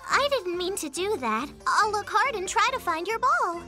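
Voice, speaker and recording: An actor voicing a sweet, excitable young animal in a children's cartoon, innocent and eager but a bit hesitant, with music behind. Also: very high-pitched, moderate pace, full character voice. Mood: unsure, innocent.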